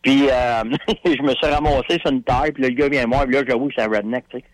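A man's voice: mid-range voice, fast, no room sound, rough recording. A man with a Quebec French accent chatting casually on a radio show, telling stories in folksy slang with lots of fillers. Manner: animated storyteller, folksy slang, many fillers.

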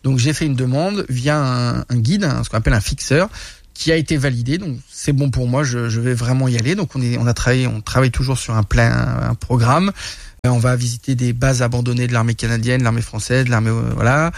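A man from France, a guest telling war stories in a casual studio interview, animated and full of restarts. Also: mid-range voice, brisk, gravelly, no echo. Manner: animated, many restarts and laughs. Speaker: guest, reporter and influencer. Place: radio studio.

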